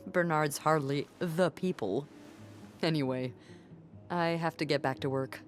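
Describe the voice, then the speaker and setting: A woman's voice: deep voice, measured pace. A woman playing a wry, sardonic hotel front desk clerk in a video game, with a dry manner. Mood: wry, teasing. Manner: dry, teasing, sarcastic. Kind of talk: naturalistic video game acting.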